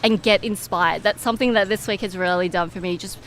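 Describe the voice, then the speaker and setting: A woman's voice: mid-range voice, steady tone, a little fast, fairly bright tone. A young woman giving informal, explanatory answers as a guest in an interview. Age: young adult. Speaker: interview guest, medical student and competition winner. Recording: clear background noise and ambience.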